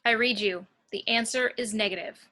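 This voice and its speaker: Woman playing a firm, bright-voiced spaceship officer. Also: a little high, normal speed. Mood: firm refusal. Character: firm, rule-bound female warrant officer on a spaceship. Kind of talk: amateur screenplay table read.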